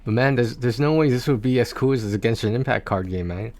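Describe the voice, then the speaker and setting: A man's voice: mid-range voice, a little fast, a little mellow, dry recording. A man livestreaming about video games in a casual, rambling, conversational way, speaking to his viewers on a close mic.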